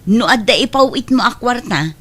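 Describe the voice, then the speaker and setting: Resentful, interfering older sister for a family audio drama, voice a bit high female actor. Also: animated, normal speed, fairly bright tone. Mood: insistent, nagging. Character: meddling, resentful elder sister, loyal to her mother. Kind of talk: Ilocano radio-style family drama acting.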